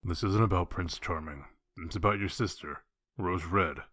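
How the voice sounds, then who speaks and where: Man playing a low, gruff, blunt detective. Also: normal speed, a bit bright, no room sound, clean studio sound. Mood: grave, businesslike. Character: gruff, blunt male wolf detective, grimly matter-of-fact. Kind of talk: comic dub voice acting.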